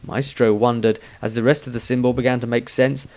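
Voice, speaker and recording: A man's voice: mid-range voice, little pitch movement, fairly quick, a little mellow. A man reading his own fantasy story aloud as a scripted, dramatic narration.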